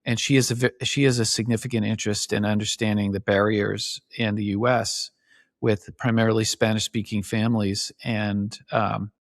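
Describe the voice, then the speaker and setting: Man, low voice, dry recording, thoughtful interviewer, emergency physician. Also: little pitch movement, normal speed, no echo. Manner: thoughtful, reflective questioning. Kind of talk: interview conversation.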